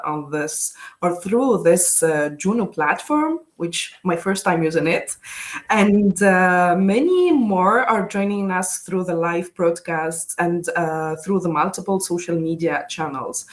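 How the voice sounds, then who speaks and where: A young woman from Tunisia with a Tunisian accent, hosting a live online session in a halting way with frequent fillers. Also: fairly low pitch. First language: Tunisian Arabic. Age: young adult. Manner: halting, many fillers, switches between English, Arabic and French. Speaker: session host. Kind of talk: live online panel moderation.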